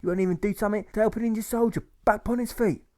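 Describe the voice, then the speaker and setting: A man's voice: mid-range voice, expressive, brisk, a little mellow, close to an everyday voice. A man voicing a scornful injured rustic soldier in an audiobook, with exclamatory dialect-laden speech. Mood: scornful ranting.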